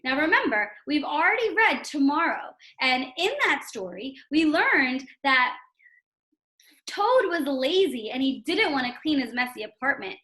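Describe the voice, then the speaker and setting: A woman's voice: high-pitched, sing-song, measured pace, bright, forceful tone. A woman teacher giving a read-aloud lesson for young children, instructive and emphatic, often checking in with a questioning tag. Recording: roomy, echoing sound.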